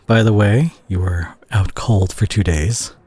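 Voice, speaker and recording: Weary male superhero played by a male voice actor for intimate audio drama: husky, low, expressive, concerned. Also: no echo. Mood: tired, tender concern. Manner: hushed, halting, sighing, turning exasperated. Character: weary, worried male superhero at a teammate's hospital bedside. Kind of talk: intimate audio roleplay addressed to the listener.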